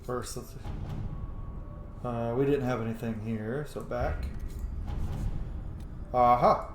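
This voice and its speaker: A man's voice: medium pitch, fairly even intonation, takes it slowly. A young man giving casual, rambling playthrough commentary on a video game, with joking asides. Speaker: streamer playing a video game. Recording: roomy echo, faint background noise.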